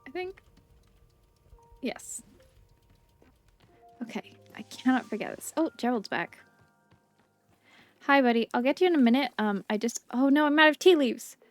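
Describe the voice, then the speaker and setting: A woman's voice: high-pitched, expressive, moderate pace, pauses often, no room sound. A woman giving casual, chatty video game commentary, murmuring asides to herself. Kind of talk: casual gameplay commentary with dialogue read aloud. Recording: game music behind the voice.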